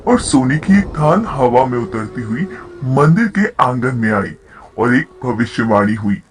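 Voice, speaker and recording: A man reads a folk story as its narrator. His voice sits at a medium pitch and follows a written script, telling the tale in the third person. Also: expressive, unhurried, faint music behind.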